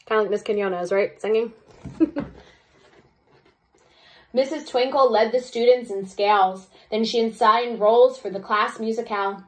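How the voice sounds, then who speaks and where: A woman's voice: fairly high pitch, unhurried, pauses often, rough recording. Woman teacher reading a children's story aloud. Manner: expressive reading, chatty asides. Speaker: second-grade teacher. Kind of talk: children's read-aloud with explanatory asides.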